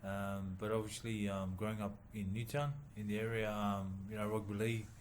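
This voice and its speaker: A man from New Zealand, a boxer, answering interview questions haltingly with many pauses and fillers. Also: lowish voice, level tone, slight background noise. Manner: halting, many ums and uhs, soft-spoken. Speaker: boxer and former rugby league player, guest. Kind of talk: casual interview.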